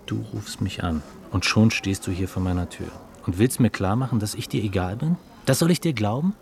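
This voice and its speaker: Creaky-voiced, smug, cynical dealmaker. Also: male voice, fairly low pitch, a little mellow. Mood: mocking, taunting. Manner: mocking, deliberate, superior. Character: smug, cynical male dealmaker, cool and taunting. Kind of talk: German radio drama acting.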